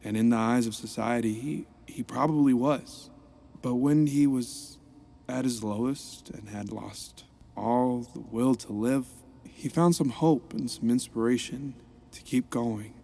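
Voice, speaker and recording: An actor playing an earnest reformed supervillain turned counselor, speaking gently and encouragingly while narrating an audio drama. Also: male voice, mid-range voice, unhurried, vocal fry.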